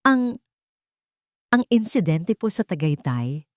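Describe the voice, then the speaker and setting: A woman's voice: on the low side, big pitch swings, slow pace, no room sound. A woman narrator plays an uneasy Filipino male executive in an audiobook drama. He forces a polite charm and speaks hesitantly, in a very dry recording. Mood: startled.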